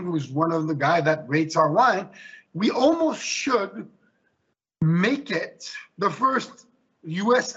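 A man's voice: high voice, pushed and bright, husky, muffled recording. A man from Switzerland, a winemaker speaking passionately and emphatically on a panel. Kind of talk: panel discussion.

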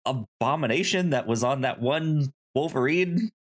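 A podcast co-host chatting casually about reviews, often repeating and restarting words in a halting way. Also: medium pitch.

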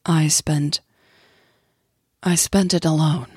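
Woman as guarded, hesitant woman, slow-spoken. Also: low voice, steady tone, over music. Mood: reluctant, vulnerable. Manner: hesitant, apologetic. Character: Emily, guilty, guarded, shy woman.

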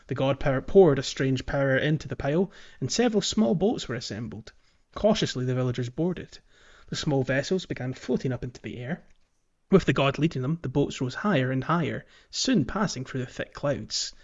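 A man with a mid-pitched voice hosts a gaming podcast in a casual, conversational style. The recording is a little dull and lo-fi. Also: lively intonation, brisk. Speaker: podcast host. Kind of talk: casual game walkthrough chat, some reading aloud.

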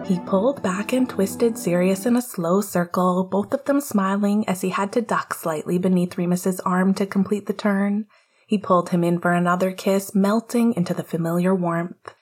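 A woman reading fiction aloud as a scripted narration, with a husky, breathy voice and a soft, gentle storytelling manner. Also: medium pitch, fairly even intonation, moderate pace, soft background music. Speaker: podfic reader.

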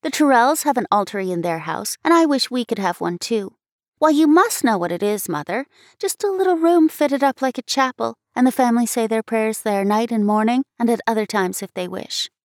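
A woman lifts her voice into an eager, headstrong little girl from an old-fashioned storybook, high and pleading, bouncing up and down in pitch. The reading is dry and close, with no room sound. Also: fairly quick, no echo. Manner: excited, pleading, scornful.

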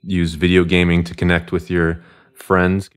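A man's voice: deep voice, completely flat delivery, a little slow, smooth. A man in his thirties casually co-hosting a conversational podcast. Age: 30s. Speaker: podcast co-host.

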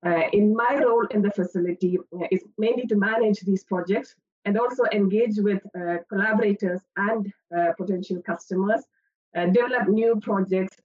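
A woman who heads a research facility introduces herself on a panel in a steady way, with many "uh" fillers. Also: mid-range voice, dull audio. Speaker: head of a drug discovery facility.

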